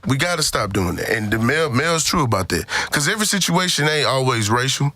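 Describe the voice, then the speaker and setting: A man's voice: mid-range voice, big pitch swings, fast talker, sharp tone, no echo. A man co-hosting a casual podcast discussion, emphatic and slangy, circling back to repeat his points. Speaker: podcast co-host.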